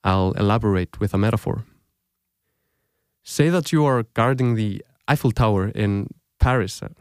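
A young man with an Icelandic accent, a native Icelandic speaker, narrating a scripted podcast as its host in a measured, reflective storytelling way. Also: mid-range voice, darkish tone, no echo, studio quality. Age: young adult.

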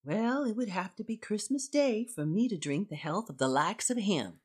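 A woman's voice: medium pitch, lively intonation, normal speed, breathy edge. A woman playing a poor, fussing mother with a bitter edge in a radio drama, wry and emphatic. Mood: indignant.

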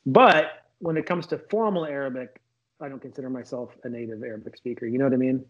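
A man's voice: mid-range voice, quick pace. Rambling man on lo-fi audio. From Lebanon. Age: late 30s. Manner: rambling, restarts mid-sentence, self-correcting. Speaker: interview guest. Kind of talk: casual conversational interview.

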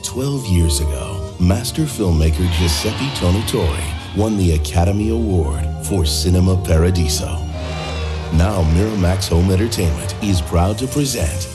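A man narrating a movie trailer in a slow, measured, dramatic voice-over. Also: low-pitched, expressive. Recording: voice-over over loud music. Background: loud trailer music.

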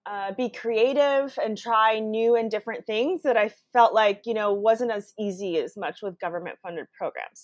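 A woman's voice: mid-range voice, dry recording. Thoughtful woman with a dry sound. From Brazil, raised in the US. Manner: thoughtful, frequent ums and restarts. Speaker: guest. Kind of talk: interview answers.

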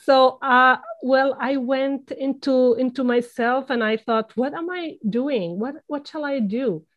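A woman with a Levantine Arabic accent, a language teacher and former journalist, speaking as a podcast guest in halting speech full of fillers and restarts. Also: sits high, highs cut off. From Palestine. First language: Palestinian Arabic. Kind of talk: conversational podcast interview.

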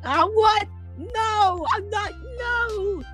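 A woman's voice: very high-pitched, drawn-out words, over music. A woman co-hosting silly banter, giggly and teasing. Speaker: co-host.

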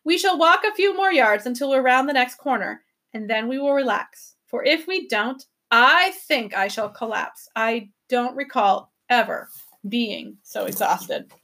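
A woman voicing a polite, steady older professor who keeps the children calm, in a storybook reading. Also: high-pitched, normal speed. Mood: weary. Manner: courteous, encouraging, steady.

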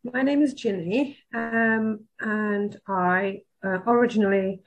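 A woman from the Republic, a guest sharing her childhood story in halting phrases broken by many ums. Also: medium pitch, lo-fi sound. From Czech Republic. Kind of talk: personal testimony in a podcast.

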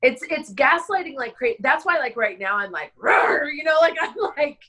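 A woman's voice: high-pitched, lively intonation, normal speed, bright, forceful tone. An interview guest, a woman who talks in an impassioned, rambling way and strings her thoughts together with lots of fillers. She sounds stressed and overwhelmed as she speaks. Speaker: interview guest, wedding planner. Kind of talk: emotional conversational interview.

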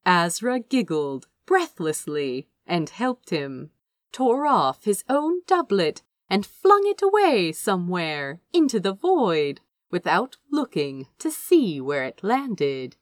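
A woman reading a story aloud as dramatic scripted narration, with expressive, drawn-out emphasis. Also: lowish voice, no room sound, clean studio sound. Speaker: amateur audiobook reader of fan fiction.

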